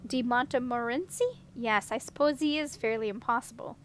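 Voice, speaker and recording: A woman reads a female dragon ruler from a storybook, high-voiced and in command. She speaks mildly and drily, with measured poise, over faint noise. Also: close to an everyday voice. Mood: dryly regal. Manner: mild, measured, dry. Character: composed, commanding female dragon monarch, dryly amused.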